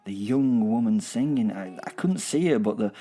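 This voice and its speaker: A man playing a sentimental werewolf killer, telling his story in a halting, dramatic way with repeated words and pauses, for a horror audio drama. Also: lowish voice, lively intonation, warm tone. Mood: wonder, wry. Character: male werewolf killer, sentimental, menacing, wryly wistful. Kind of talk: dramatic first-person storytelling.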